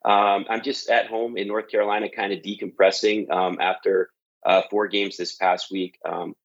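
A Canadian man with a very low voice chats easily about his career, with plenty of ums and uhs. The sound is a little dull and lo-fi, like a remote call from home. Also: normal speed, creaky. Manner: relaxed storytelling with frequent fillers. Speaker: guest, TV sports director. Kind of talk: casual interview talk.

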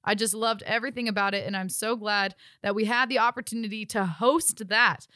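A woman's voice: medium pitch, steady tone, brisk, sharp tone, no room sound, studio quality. A woman hosting a hockey show with an upbeat, enthusiastic delivery, giving recaps and asking interview questions. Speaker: sports show host and interviewer.

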